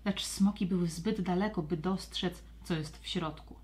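A woman narrating a scripted children's audio story with expressive, storybook delivery. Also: fairly low pitch, normal speed, slight background noise.

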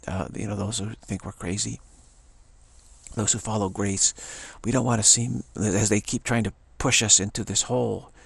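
An older man, a spiritual teacher, giving a rambling monologue, halting and repeating words, with frequent chuckles, recorded on an action camera. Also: lowish voice, steady tone, gravelly, fairly bright tone, breathy edge, no room sound.

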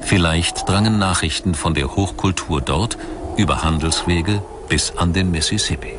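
A man reading scripted documentary narration in a measured, articulate manner. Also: deep voice, background noise.

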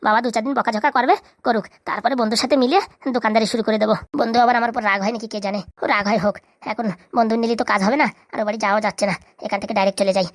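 A woman voicing an excitable, scheming young man in a comic cartoon, chattering in colloquial Bengali-accented speech. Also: fairly high pitch, rapid-fire, few pauses, no echo, studio quality, close to an everyday voice. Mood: scheming, eager.

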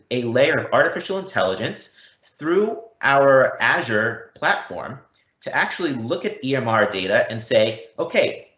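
A man giving an explanatory software demo as a product presenter, in a didactic way with emphatic repetition. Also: mid-range voice. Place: indoor room, recorded live online event. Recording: muffled, roomy live-event recording.

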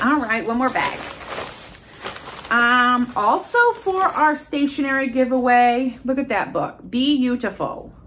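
High-voiced, chatty American woman vlogger. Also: a bit bright, a little hiss or hum. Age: middle-aged. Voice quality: congested, stuffy. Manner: chatty, enthusiastic. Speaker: haul video host. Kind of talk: casual chat showing shopping finds. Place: furnished room at home, indoors.

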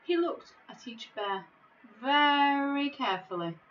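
A middle-aged woman reading a children's storybook in a measured, expressive way, recorded on a device microphone in an office. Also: sits high, muffled recording. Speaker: school staff member reading a bedtime story. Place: small office, indoors.

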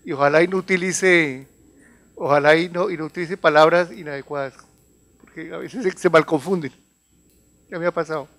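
Colombian guy in his 50s giving a conference talk, voice a bit high, explains stuff with some stumbling repeats. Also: fairly even intonation, moderate pace, long pauses, a bit bright. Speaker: health organization advisor giving a talk. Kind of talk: semi-formal conference presentation. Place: large conference room. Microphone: handheld or table microphone.

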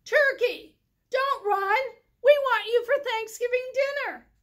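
Storybook Mister Moose type , played by a female reader: kindly, determined husband, polite but emphatic, high-pitched, unhurried. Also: fairly bright tone, exaggerated voice. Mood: loud, urgent.

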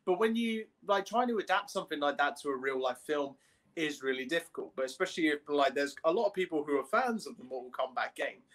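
A young man speaks a little higher than average. He co-hosts a casual podcast, putting his points across informally and somewhat tentatively. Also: expressive, fast, fairly bright tone. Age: young adult. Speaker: podcast co-host. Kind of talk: casual discussion. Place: indoor room at home, on video call.

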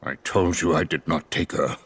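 An actor playing a grave, remorseful older scientist in a video game, solemn and deliberate, with a husky voice. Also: male voice, fairly even intonation, fairly bright tone, dry recording, exaggerated voice. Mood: pleading, grave. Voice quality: husky, very deep. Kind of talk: dramatic video game cutscene acting.

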